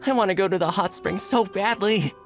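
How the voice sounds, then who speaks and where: A man's voice: high-pitched, a little fast, over music. A man voicing an easygoing, chatty young retainer in a video game cutscene. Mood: wistful playful. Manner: casual, chatty, expressive. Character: easygoing, friendly young male retainer in hiding.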